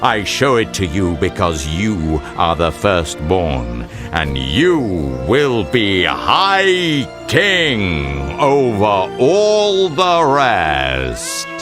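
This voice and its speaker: A man playing a great lion king in an audio drama, grave and kindly, with a slow, stately, gravelly delivery. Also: wildly swooping pitch, heavily acted. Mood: grand, proclaiming. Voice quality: very deep, gravelly. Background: music.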